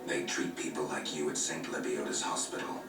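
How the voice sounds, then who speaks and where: A man voicing a gruff, cynical male monster hunter who talks in a curt, dry, dismissive way, heard from a video game. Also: rumbling low, completely flat delivery, a little fast. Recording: game audio from far off in an echoey room, with music.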